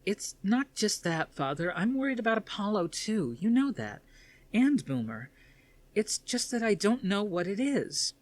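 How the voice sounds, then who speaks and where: A man's voice: sits high, sing-song, measured pace, voice pushed up. A man voicing an agitated, anguished young woman, a commander's daughter, in a dramatic story reading. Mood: worried, distraught.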